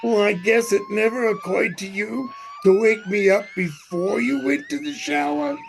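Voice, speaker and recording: An actor playing a loud, dim, wisecracking man tourist in a 1940s-style radio comedy. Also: high voice, lively intonation, vocal fry, a little hiss or hum. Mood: blustery, indignant. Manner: blustery, exclaiming, drawn-out delivery.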